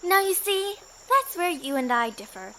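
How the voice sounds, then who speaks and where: An actress plays a dangerous martial-arts bandit woman in an audio drama. Her voice is high and sharply bright, with a haughty, taunting delivery. A faint noise of insects sits behind her. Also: very animated, moderate pace, heavily acted. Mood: menacing. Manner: taunting, theatrical. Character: haughty, dangerous female bandit and kung fu fighter.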